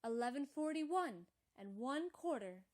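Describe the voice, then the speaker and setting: A woman acting in a radio play as a telephone time-service operator, announcing the time in a detached, measured formula. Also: high voice, animated, darkish tone. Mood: impersonal, measured.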